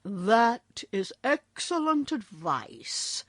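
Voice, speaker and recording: A woman reading a calm bear king. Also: low voice, drawn-out words, no echo, clean studio sound, full character voice.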